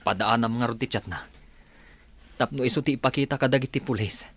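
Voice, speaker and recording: A man's voice: mid-range voice, a little fast, a bit bright, breathy edge, dry recording. A man with an Ilocano accent playing a concerned, earnest friend in a radio drama. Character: concerned, earnest male friend planning a rescue.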